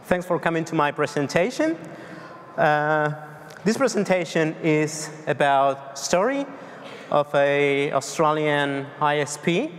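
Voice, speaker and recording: Spanish-accented man, 30s, conference speaker, slightly high voice, loose hesitant delivery, long hall reverb. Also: slight background noise. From Argentina. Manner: loose, hesitant delivery with many fillers and restarts. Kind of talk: tech conference presentation. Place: large dark conference hall on stage.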